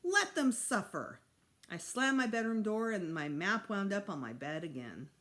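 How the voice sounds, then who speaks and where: A woman reading a children's novel aloud as a teacher would to students, in expressive narration. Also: medium pitch, moderate pace.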